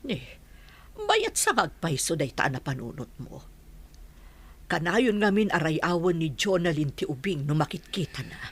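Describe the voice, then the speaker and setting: A woman's voice: low-pitched, sing-song, measured pace, husky, full character voice. A woman playing an anxious, hesitant wife in a radio drama, with an Ilocano Filipino accent.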